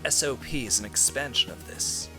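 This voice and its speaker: A man's voice: mid-range voice, normal speed, over music, close to an everyday voice. Man putting on a haughty, clinical woman scientist. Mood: clinical, condescending. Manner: clinical, articulate, condescending. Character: cold, haughty female scientist. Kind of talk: comedic video game parody dub.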